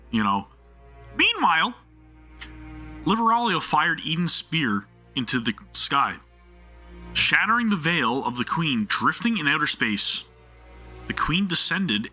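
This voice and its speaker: Excitable, stammering game streamer. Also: male voice, fairly high pitch. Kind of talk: live game reaction.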